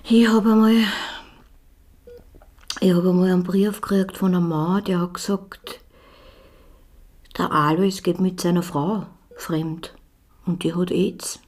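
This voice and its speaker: An actress in a radio drama playing a troubled, devoted wife and mother under questioning, with an Austrian accent and a breaking, halting delivery. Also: fairly low pitch, fairly even intonation, a little mellow. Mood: halting, guarded. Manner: halting, repetitive, emotionally fragile. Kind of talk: naturalistic radio drama acting.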